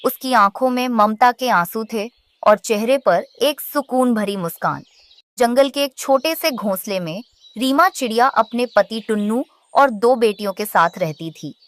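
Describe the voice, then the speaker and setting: A woman narrates a children's story from a script. Her voice is fairly high, and she takes her time with very slow delivery. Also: fairly bright tone, dry recording.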